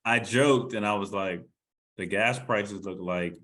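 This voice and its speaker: A man's voice: lowish voice, measured pace, bright, forceful tone. A man co-hosting a podcast, chatting in an easygoing, joking way. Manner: easygoing, joking, repeats words when starting. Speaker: podcast co-host. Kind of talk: casual chat.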